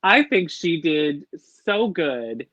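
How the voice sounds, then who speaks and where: A bubbly man with a fairly high voice, gushing his way through a casual review chat as co-host. The sound is dull and lo-fi, dry and close. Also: sing-song, slow, fairly bright tone, dry recording. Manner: bubbly, gushing, full of "like" fillers. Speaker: podcast co-host.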